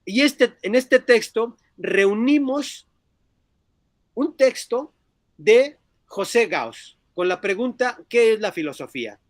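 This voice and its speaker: Male philosophy lecturer, high-pitched voice, halting academic delivery, dry close sound. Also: animated, no echo. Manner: halting, searching for words, frequent filler words. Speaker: philosophy lecturer presenting a book. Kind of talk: academic seminar talk.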